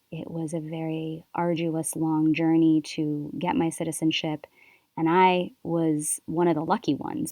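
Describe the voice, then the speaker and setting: A woman from Canada hosting her own podcast, speaking softly and thoughtfully in a reflective solo monologue with unhurried pauses. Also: low voice, dry recording. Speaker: podcast host.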